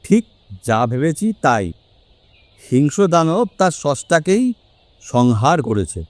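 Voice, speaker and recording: A man's voice: a little high, sing-song, slow pace, dry recording. A man playing a knowing detective who explains a grim mystery with assurance in an audio story. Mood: grave, assured. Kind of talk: dramatic audio story dialogue.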